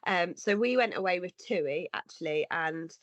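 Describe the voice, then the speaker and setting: A woman's voice: lowish voice, normal speed, no room sound. Sniffly woman with a cold. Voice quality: slightly nasal and husky. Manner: earnest, rambling with restarts. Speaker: podcast co-host. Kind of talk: casual conversation. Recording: dry, slightly dull lo-fi sound.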